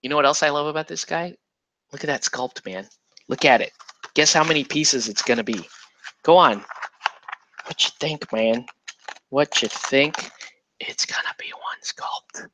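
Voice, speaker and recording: A man's voice: on the high side, a little slow, long pauses, pushed and bright. A man hosting a miniatures hobby show, chatting casually while he builds models, rambling and joking with lots of fillers. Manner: breaks into laughter and puts on silly voices.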